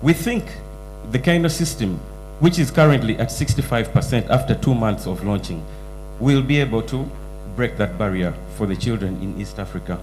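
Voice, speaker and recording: A man giving a startup pitch, reeling off market and revenue figures. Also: lively intonation, moderate pace, a little mellow, a little hiss or hum, poor audio. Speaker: entrepreneur pitching at a summit.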